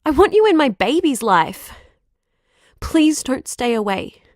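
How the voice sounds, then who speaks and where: An actress playing a fiercely protective, insistent sister in a naturalistic audio drama. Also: female voice, high voice, very animated, unhurried, a little mellow, no echo, clean studio sound. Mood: pleading. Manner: emphatic, insistent. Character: fierce, protective pregnant sister, angry and pleading.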